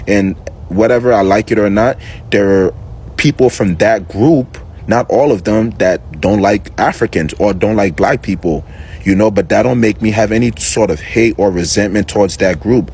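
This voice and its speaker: A man's voice: fairly low pitch, normal speed, a little mellow, slight background noise. A man born in Liberia and raised in New York City, giving earnest commentary to camera, repeating himself and pleading. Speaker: reaction video host.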